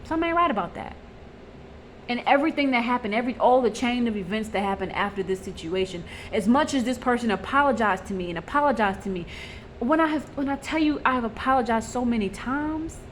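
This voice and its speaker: A woman's voice: on the high side, animated, fast talker, slight background noise. Blunt young woman venting in a vlog. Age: 20s. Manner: blunt and raw, vents with frequent fillers and repeats. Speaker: vlogger. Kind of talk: personal confessional vlog.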